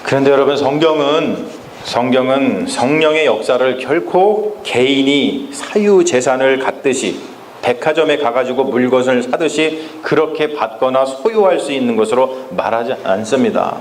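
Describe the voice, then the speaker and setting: A male pastor preaching a Sunday sermon in a church, speaking emphatically and often turning to address the congregation directly, slowing down to stress key phrases. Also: mid-range voice, expressive, slight background noise. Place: church sanctuary. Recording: echoey church with faint ambience.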